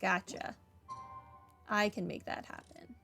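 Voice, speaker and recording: Woman streamer, halting casual gameplay chatter. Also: medium pitch, gravelly, sharp tone, faint music behind. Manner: hesitant, musing, halting phrases. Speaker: game streamer. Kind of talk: casual playthrough commentary, chatting to viewers.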